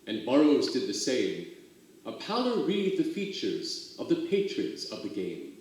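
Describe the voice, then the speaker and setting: A man giving a dramatic poetry recital, with expressive, theatrical storytelling and drawn-out emphasis. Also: a little high. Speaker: reader of poetry for a theater company. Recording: very echoey, roomy sound.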